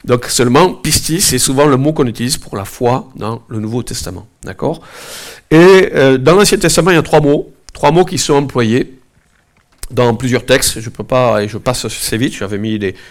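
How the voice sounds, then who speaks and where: A man preaching while fighting a head cold, his voice sitting in an ordinary middle pitch. He talks to the congregation in a loose, conversational way, often pausing with fillers and side remarks before getting back to his point. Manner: conversational, hesitant, many fillers and asides. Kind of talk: informal sermon with prayer. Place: church gathering, also streamed online.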